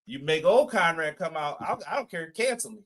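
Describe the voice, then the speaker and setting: A man's voice: fairly high pitch, animated, brisk, fairly bright tone. Man, podcast co-host, casual live-stream chat, reads comments aloud, easygoing laugh.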